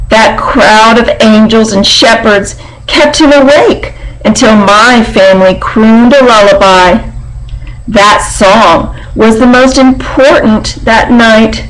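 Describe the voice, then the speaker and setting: A woman's voice: fairly high pitch, slow, poor audio. A woman voicing a proud, boastful pigeon from a barn in a children's storybook. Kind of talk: storybook character reading.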